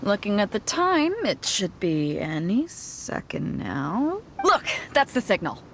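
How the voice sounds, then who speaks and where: A woman's voice: medium pitch, big pitch swings, takes it slowly, creaky, fairly bright tone, a little hiss or hum, exaggerated voice. An actress playing a confident, worldly pirate captain in a video game, assured and teasing as she explains things. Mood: confiding, amused. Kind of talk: voiced video game dialogue. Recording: music behind the dialogue.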